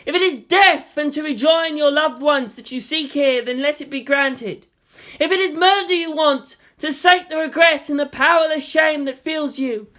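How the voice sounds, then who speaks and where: Reader performing young male zealot, storybook oratory, high pitch, forceful, declamatory, impassioned. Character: impassioned young man preaching with chainsword through megaphone. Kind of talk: dramatic fan fiction reading.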